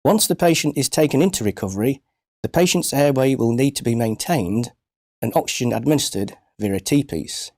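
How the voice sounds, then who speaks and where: A man reading scripted instructional narration for a medical training film in a measured, precise, formal manner. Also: mid-range voice, expressive, a little mellow, no room sound, clean studio sound.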